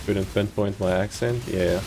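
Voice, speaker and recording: Deep gravelly South African man. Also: over music. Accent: South African, slightly Americanized. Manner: relaxed, chatty, halting with many ums and restarts, chuckles. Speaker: game streamer. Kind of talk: casual live-stream chat with viewers while playing.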